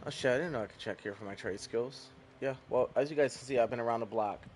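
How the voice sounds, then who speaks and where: Male streamer, laid-back rambling commentary, casual swearing, mid-pitched voice. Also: fast, a little hiss or hum.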